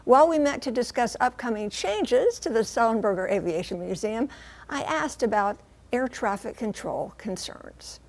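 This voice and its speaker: An American woman reporting and explaining the news on television, with emphatic, deliberate phrasing. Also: fairly high pitch, animated, darkish tone.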